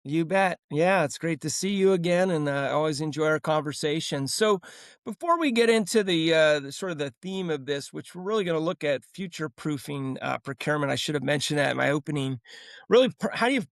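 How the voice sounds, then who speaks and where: Friendly male podcast host, fairly high voice. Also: expressive, a little fast, no echo, studio quality. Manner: friendly, loose and rambling. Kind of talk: interview hosting.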